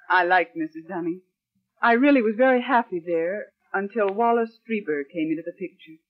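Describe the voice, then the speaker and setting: An actress playing a young secretary, proper, wary and bitter, in crisp 1940s radio drama narration style. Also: female voice, mid-range voice, normal speed, close to an everyday voice. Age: young adult. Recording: muffled vintage radio recording, organ music.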